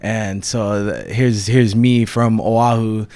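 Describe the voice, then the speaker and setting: Laid-back low-voiced man from Hawaii. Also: fairly even intonation, slow pace, a little mellow, dry recording. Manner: laid-back, many fillers and restarts. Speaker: guest musician. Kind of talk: casual interview talk.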